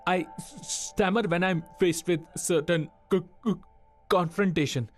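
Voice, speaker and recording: A man's voice: on the high side, sing-song, measured pace, darkish tone, soft background music. An actor playing a polite, nervous young male call centre trainee with a stammer.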